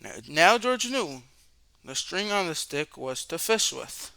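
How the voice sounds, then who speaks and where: Bright, high-voiced man reading a children's story. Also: very animated, creaky, smooth. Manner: dramatic questions and drawn-out words for young listeners. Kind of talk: expressive children's read-aloud narration.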